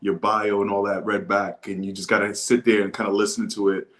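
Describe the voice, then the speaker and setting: A laid-back man from the Bronx, a podcast guest telling his story in a relaxed, reflective way. His voice sits low and easy. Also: brisk. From the Bronx, New York; Dominican.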